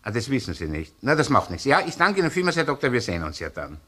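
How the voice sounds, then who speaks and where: An actor playing a gruff, shrewd senior police inspector with a Viennese accent in a crime drama, sardonic and probing. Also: male voice, mid-range voice, big pitch swings, quick pace, noisy background, natural voice. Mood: courteous, inquisitive. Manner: sardonic, interrogating, hesitant muttering between questions. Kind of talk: naturalistic crime radio or TV drama acting.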